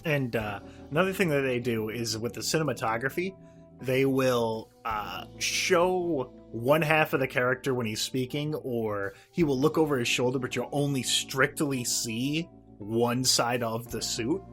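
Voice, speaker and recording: A man co-hosting a podcast, recapping in a casual, halting, profane and sardonic way. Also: medium pitch, a bit bright, soft background music. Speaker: podcast co-host. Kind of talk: casual episode recap chat.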